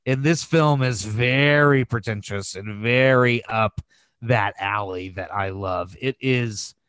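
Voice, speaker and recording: A man's voice: medium pitch, slow pace, a bit bright, clean tone, no room sound. A man hosting a podcast, chatting casually about films in a crass, emphatic and gushing way. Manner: crass, freely swearing. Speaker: podcast host. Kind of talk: casual film review chat.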